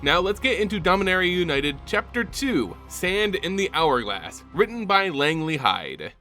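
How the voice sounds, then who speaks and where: A man delivers dramatic scripted story narration in a bright, forceful voice. His pitch sits a little high and swings widely, with deliberate, theatrical phrasing. Guitar music plays underneath. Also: very animated. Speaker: channel host and narrator.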